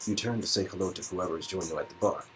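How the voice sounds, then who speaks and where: A man's voice: low-pitched, steady tone, rapid-fire, soft background music. A man reading out narration from an interactive romance game, with joking asides to his co-reader.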